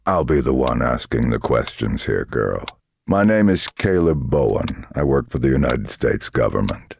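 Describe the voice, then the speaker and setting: An actor playing a menacing, condescending male government interrogator in a dramatic video game, speaking deliberately. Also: sing-song, slight background noise, heavily acted. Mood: stern, accusing. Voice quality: deep, gravelly.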